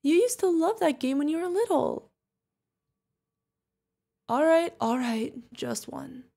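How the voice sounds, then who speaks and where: A woman's voice: sits high, big pitch swings, slow, creaky, mellow, full character voice. A woman playing a protective older witch mentor, fond, teasing and stern, in an ASMR fantasy roleplay. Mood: exasperated, fond. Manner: affectionate teasing, mock-exasperated. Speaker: voice actor.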